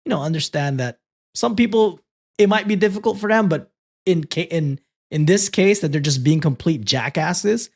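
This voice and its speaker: A young man from the Philippines, a native Tagalog speaker, co-hosting a casual chat, animated and quick to swear and laugh. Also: on the high side. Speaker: podcast co-host.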